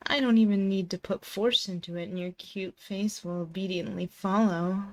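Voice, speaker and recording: A woman's voice: medium pitch, fairly even intonation, lightly acted. A woman performing an intimate ASMR roleplay as a possessive, teasing demon in a girlfriend's body, coaxing and condescending, close on a binaural mic. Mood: teasing, dominant. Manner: slow, coaxing, condescending pet-name teasing. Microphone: close binaural microphone.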